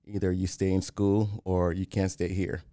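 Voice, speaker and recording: A man from Haiti, a real estate developer talking as a podcast guest in a calm, plain-spoken way, often restarting. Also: low-pitched, moderate pace, no room sound, clean studio sound. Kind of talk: casual interview.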